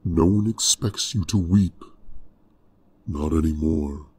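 A man doing a low, brooding dragon. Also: takes it slowly, heavily acted. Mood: gentle, solemn. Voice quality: low, hushed, gravelly. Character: huge brooding male dragon, protective.

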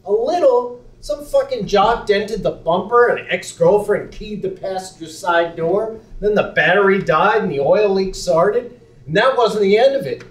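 A man's voice: high voice, a little slow. A young man on a small theater stage playing a skeptical, blunt best friend with a sentimental side, talking in a dry, sardonic way. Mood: heartfelt. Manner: dry, sardonic, then heartfelt storytelling. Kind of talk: live stage acting. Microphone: far room mic. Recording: roomy theater sound from far off.

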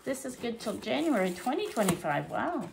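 A middle-aged woman from Canada chatting casually through her grocery haul in her home kitchen, reading prices aloud and thinking out loud. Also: fairly high pitch, big pitch swings, moderate pace, gravelly, noisy background. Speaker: vlogger. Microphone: fixed camera at table.

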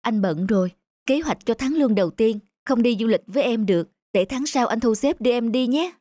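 A woman voicing a gentle, earnest and devoted young man, a boyfriend in a cartoon. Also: a little high, animated, a little fast, no room sound. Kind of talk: cartoon dubbing.

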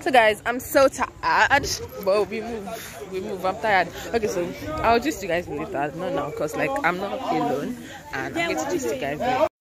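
A woman's voice: mid-range voice, lively intonation, moderate pace. A woman in her early twenties with a Nigerian accent, chatting casually and repetitively to her vlog, slipping into some Pidgin. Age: early 20s. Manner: informal, repeats herself, some Pidgin. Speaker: vlogger, student. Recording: phone recording with background noise and chatter.

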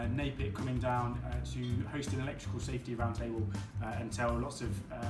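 A man in his thirties chats through his workday to a handheld phone camera, filling his speech with ums and uhs. His voice sits at an ordinary middle pitch, with music playing clearly underneath. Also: poor audio. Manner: chatty, many fillers, talks while walking. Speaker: member of parliament. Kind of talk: casual vlog narration. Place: offices and corridors, outdoors at night. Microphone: phone camera at arm's length.

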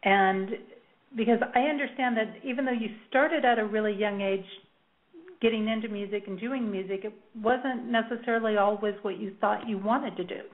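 A woman's voice: mid-range voice, steady tone, normal speed. Female interview host, friendly and chatty, restarts often; narrow, phone-like audio. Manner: warm, chatty, with small laughs and restarts. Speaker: radio show host. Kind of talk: interview hosting. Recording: tinny phone-like line.

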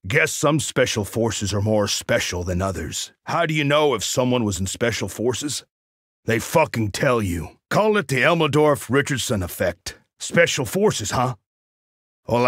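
A cocky crossbow assassin from a video game, dry and sardonic. His delivery is unhurried and swings widely in pitch, with a bright, forceful edge. He boasts in short deadpan quips. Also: no room sound, exaggerated voice. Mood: wry, deadpan. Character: cocky, wry male Alaskan crossbow assassin mercenary.

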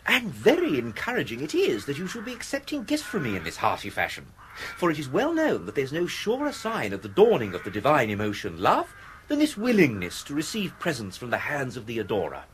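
An actor playing a suave, whimsical English gentleman in a radio play, with an upper-class English accent and languid, mock-grand eloquence. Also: medium pitch, huge pitch swings, a little fast, slight background noise. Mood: playful, wooing. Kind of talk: 1980s radio drama acting.

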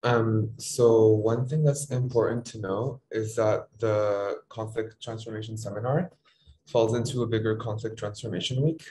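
Low-voiced young man in an echoey room. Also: fairly even intonation, moderate pace, mellow. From Morocco. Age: young adult. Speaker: student panelist. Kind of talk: reflective panel answer. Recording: roomy, echoey sound.

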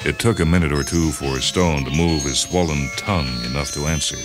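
A man's voice: rumbling low, fairly quick. A man hosting and reading short stories as scripted literary narration. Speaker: host and story reader. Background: music and sound cues.

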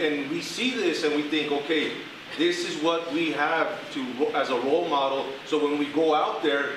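A church member sharing in a men's group discussion, halting and restarting often. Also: male voice, fairly high pitch. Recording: echoey room from far off with hiss.

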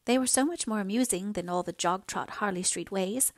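A woman's voice: medium pitch, a little fast, no room sound, lightly acted. Devoted, impulsive young daughter for storybook drama played by a female reader: heartfelt, imploring delivery, close dry sound. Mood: fond, reassuring. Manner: earnest, pleading, fervent. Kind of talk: dramatised audiobook dialogue.

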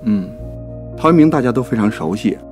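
A man's voice: mid-range voice, lively intonation, fairly quick, darkish tone, soft background music. A man co-hosting a talk show, giving conversational commentary on classical poetry. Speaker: co-host and producer.

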